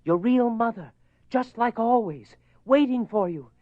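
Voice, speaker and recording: A man's voice: very high voice, expressive, a little slow, no echo. A man playing a desperate husband in a horror radio play, intense and imploring as he confronts a spirit. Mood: soothing, pleading. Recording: muffled lo-fi radio drama recording.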